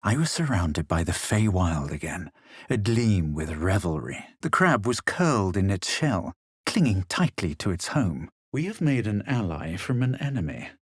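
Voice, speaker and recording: An actor playing a haughty, self-assured young male fantasy adventurer in a video game, speaking in a measured, superior, literary way. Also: low-pitched, very animated, breathy edge, no echo, clean studio sound, exaggerated voice. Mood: brooding. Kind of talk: scripted game voice lines, narration and quips.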